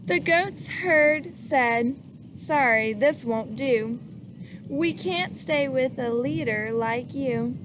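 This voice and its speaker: Woman storybook reader, high and unhurried, lively with little listeners, noticeable background hiss. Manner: animated, asks the listener questions, acts out sneezes. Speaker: children's book reader. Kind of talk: reading a picture book aloud to children.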